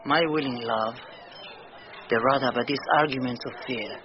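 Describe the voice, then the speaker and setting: An actor playing a devoted, anxious sea captain, speaking verse earnestly in classic radio Shakespeare style. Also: male voice, medium pitch, crowd noise, lightly acted. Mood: devoted concern. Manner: earnest, measured verse delivery. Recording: dull, faintly noisy old radio broadcast.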